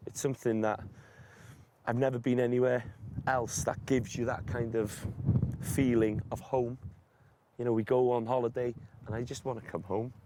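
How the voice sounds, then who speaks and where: A man with a Welsh accent, a sheep farmer from North Wales whose first language is Welsh, talking in an earnest, unhurried way outdoors on a hill farm. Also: mid-range voice, little pitch movement, background noise. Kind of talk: casual on-location interview.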